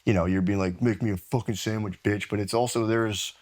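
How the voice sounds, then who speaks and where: A man's voice: lowish voice, steady tone, brisk, a little mellow. A middle-aged American man chatting casually, in a hedging, self-deprecating, conversational way. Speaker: podcast guest. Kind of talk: casual chat.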